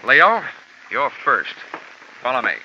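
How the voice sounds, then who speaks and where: Sardonic tough-guy investigator, bright voice, muffled. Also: mid-range voice, big pitch swings, slow pace, a little hiss or hum, heavily acted. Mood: wry. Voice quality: dry, sardonic wisecracks. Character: wisecracking tough male insurance investigator. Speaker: narrator and lead. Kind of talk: 1950s radio drama acting. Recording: dull old radio recording.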